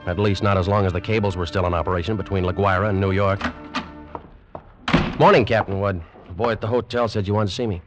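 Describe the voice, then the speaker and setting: An actor playing a determined, hard-boiled American adventurer in an old-time radio drama, with dramatic, emphatic, terse delivery. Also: male voice, low-pitched, fairly even intonation, quick pace, close to an everyday voice. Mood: firm, confident. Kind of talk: old-time radio drama acting and first-person narration. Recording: muffled vintage radio with music.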